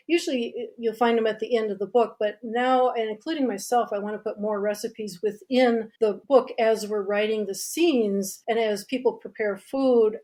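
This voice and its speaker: A woman's voice: a little high, a little mellow. A woman in a casual interview, talking as a guest author in a chatty, expansive, explanatory way. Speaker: guest, mystery author and writing teacher.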